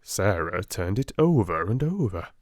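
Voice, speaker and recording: A soft-spoken man with a British accent, gently and expressively reading a storybook as an audiobook narrator. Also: sits low, big pitch swings, measured pace, dry recording, studio quality.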